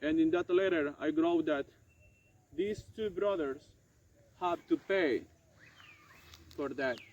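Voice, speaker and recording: A man playing a regretful French doctor and former prisoner in an amateur dramatized monologue, speaking haltingly and correcting himself. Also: on the high side, expressive, a little mellow. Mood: solemn recounting. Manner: halting, hesitant, self-correcting delivery. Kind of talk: amateur dramatized monologue retelling past events. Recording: dull lo-fi sound, faint noise.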